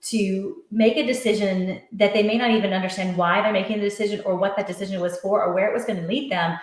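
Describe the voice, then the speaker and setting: A woman's voice: medium pitch, fairly even intonation, fast talker, reverberant. A middle-aged woman, an author and education coach, talks earnestly in long flowing sentences during a podcast interview.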